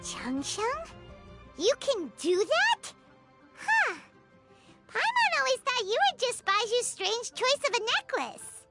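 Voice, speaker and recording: A woman voicing a tiny, childlike, curious fairy companion in a video game, squeaky and naive. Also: very animated, slow pace, long pauses, bright, forceful tone, faint music behind, heavily acted. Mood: amazed, curious. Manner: squeaky, naive, speaks of self in third person.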